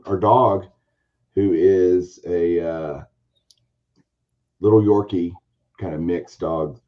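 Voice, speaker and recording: A man hosting a casual card-collecting chat show, telling a long story in a rambling, animated way with a hoarse, raspy voice. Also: low voice, extremely slow, pauses often, muffled recording. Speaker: podcast host, baseball card collector.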